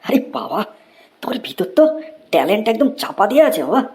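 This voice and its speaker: An actor voicing a theatrical, excitable schoolteacher who scolds and gushes in a comedy cartoon. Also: mid-range voice, fast, close to an everyday voice. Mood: gushing delight. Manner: theatrical, swinging from scolding to gushing praise. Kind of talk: exaggerated Bengali cartoon comedy dubbing.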